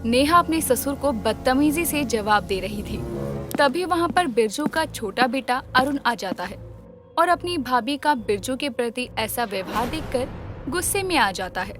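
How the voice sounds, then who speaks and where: Woman narrator, high pitch, scripted storytelling, faint music bed. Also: lively intonation, moderate pace.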